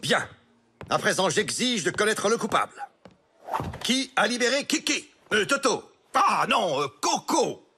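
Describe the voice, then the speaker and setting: A man's voice: sits high, sing-song, measured pace, bright, forceful tone, a little hiss or hum, full character voice. A man voicing a stern, pompous schoolteacher in a French children's cartoon, speaking formally and exclaiming. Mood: stern, flustered. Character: stern, pompous male schoolteacher, muddling names.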